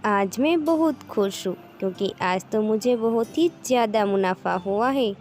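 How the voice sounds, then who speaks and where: A woman voicing a proud, greedy village ice cream woman in a cartoon moral story, expressive and self-satisfied. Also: mid-range voice, unhurried, soft background music, natural voice. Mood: happy.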